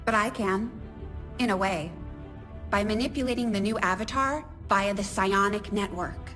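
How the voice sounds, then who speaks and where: A woman's voice: medium pitch, moderate pace, fairly bright tone, soft background music, close to an everyday voice. A woman playing a plainspoken, confident engineer in a video game cutscene, dry and matter-of-fact. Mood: resolute, matter-of-fact.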